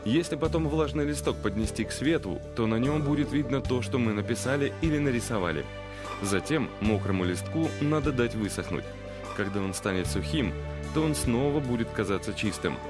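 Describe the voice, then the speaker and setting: A man's voice: medium pitch, lively intonation, fairly quick, over music, close to an everyday voice. A man voicing a know-it-all robot compass in a children's cartoon, explaining things patiently with a slightly teasing, instructive manner.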